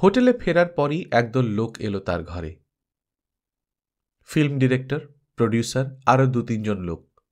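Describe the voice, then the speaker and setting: A man narrating a scripted story as an audiobook reader, in an expressive, dramatic manner. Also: mid-range voice, measured pace, pauses often.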